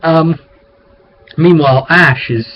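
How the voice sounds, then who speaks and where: A man's voice: on the high side, takes it slowly. A man casually recounting a tabletop roleplay session as game master, off the cuff and conversational, in a rambling way. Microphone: webcam microphone. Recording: dull lo-fi webcam audio with noise.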